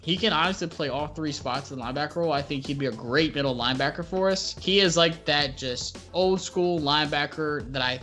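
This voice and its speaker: A man's voice: fairly high pitch, a bit bright. A man guesting on a podcast, talking eagerly about football with lots of "like" fillers. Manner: enthusiastic, filler-heavy. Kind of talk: casual sports chat.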